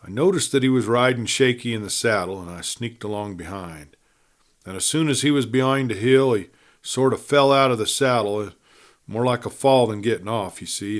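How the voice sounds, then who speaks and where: A man's voice: mid-range voice, natural voice. A man voicing a wounded young outlaw, gloomy, hardened and plain-spoken, in a western story.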